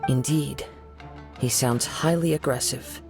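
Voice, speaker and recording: An actor playing a courteous young wandering samurai in a game, speaking formally and politely. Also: male voice, medium pitch, measured pace, vocal fry. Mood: calm. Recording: game audio with faint music.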